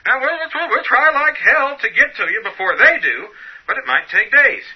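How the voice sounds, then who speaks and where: An actor playing a blunt, unflappable rural sheriff interviewed on the radio in a radio play, in casual folksy speech. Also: male voice, extremely high pitch, lively intonation, normal speed, husky, full character voice. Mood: folksy, unconcerned. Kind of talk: radio play acting, interviewed on a news bulletin. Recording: muffled radio-broadcast sound.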